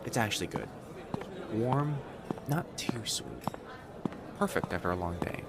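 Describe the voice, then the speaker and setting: A man playing a friendly, attentive young kitsune college student in an audio roleplay, speaking directly to the listener with soft teasing and hesitant pauses. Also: on the low side, lively intonation, very noisy. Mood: playful, welcoming. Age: young adult. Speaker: campus guide to a new student. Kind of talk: scripted boyfriend-style audio roleplay.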